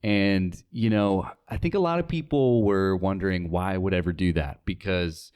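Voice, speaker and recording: A young man from North Dakota speaks as a guest in an interview. His voice is low, and his style is casual and conversational. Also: normal speed, darkish tone, smooth. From North Dakota, United States. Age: young adult. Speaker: interview guest, radio sales worker.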